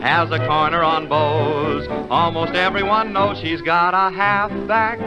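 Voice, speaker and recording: A man with a fairly high voice sings a vintage novelty number over a loud dance band. His delivery is jaunty and rhythmic, in the upbeat style of old-time radio music. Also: steady tone, unhurried, dull audio. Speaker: singer.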